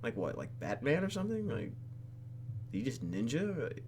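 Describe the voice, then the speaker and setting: A man's voice: medium pitch, huge pitch swings, normal speed, a little mellow, a little hiss or hum. A man hosting a let's play, reading a game script aloud with joking, slangy asides.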